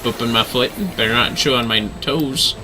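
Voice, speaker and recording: A man's voice: medium pitch, steady tone, moderate pace, music in the background. A man livestreaming a video game with casual running commentary.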